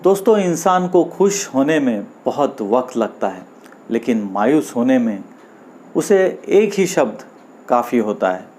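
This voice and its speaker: A man narrating a scripted moral story as host, in deliberate phrases with pauses between them. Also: medium pitch, sing-song, a little mellow, a little hiss or hum.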